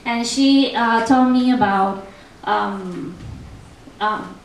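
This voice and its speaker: A young Argentinian woman speaks in a fairly high voice, her thick accent colouring every phrase. She talks chattily, full of ums and restarts, on a translation panel. The sound comes from a distance in a very echoey room with a faint hiss. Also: very slow. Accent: Argentinian Spanish. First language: Spanish. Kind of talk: panel talk with poetry reading. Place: festival event room.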